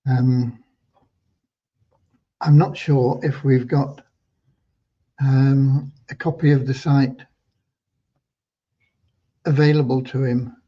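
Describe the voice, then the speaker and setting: A slightly hoarse man hosting an informal livestream update for members, talking in a rambling, halting way with hesitant repeats. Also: mid-range voice, little pitch movement. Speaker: host giving business updates to members. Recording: dull, lo-fi online audio.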